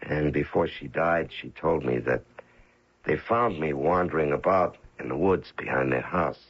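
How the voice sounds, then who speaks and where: An American man acting in a radio mystery drama as a hired killer posing as a long-lost son, speaking hesitantly with a gravelly, husky voice. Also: extremely low pitch. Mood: halting, earnest. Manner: hesitant, halting delivery. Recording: dull lo-fi old radio recording.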